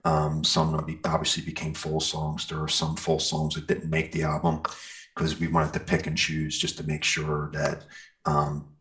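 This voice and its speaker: A man's voice: a bass voice, monotone, moderate pace, a bit bright. A middle-aged man chatting casually as an interviewed musician, rambling and enthusiastic in an informal way. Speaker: metal singer and guitarist being interviewed.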